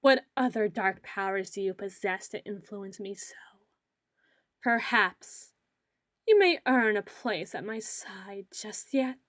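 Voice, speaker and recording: A woman playing a melodramatic self-styled female dark lord in an ASMR roleplay, pompous and theatrical, grandiose yet a little awkward. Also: medium pitch, expressive, takes it slowly, dry recording, studio quality. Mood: pompous, menacing. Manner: pompous, theatrical, mock-archaic phrasing with awkward hedging.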